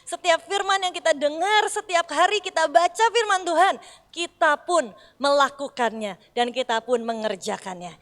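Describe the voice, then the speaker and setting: A middle-aged woman pastor from Bandung with a Sundanese accent, preaching with lively storytelling and acted-out dialogue. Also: sits high, moderate pace, big-room sound. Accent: Sundanese-accented Indonesian. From Bandung, West Java. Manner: animated storyteller, acts out dialogue, addresses congregation as "saudara". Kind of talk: sermon with storytelling. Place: church hall.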